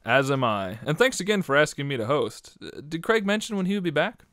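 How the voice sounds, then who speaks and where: A man's voice: a little high, sing-song, a little fast, fairly bright tone, no echo, studio quality. Formal male radio-drama host. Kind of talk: scripted radio show intro.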